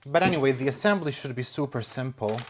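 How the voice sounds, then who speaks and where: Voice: medium pitch, expressive, fast talker, heavy background noise. Casual home vlog, chatty, self-deprecating, coos at plants, indoors. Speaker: plant vlogger.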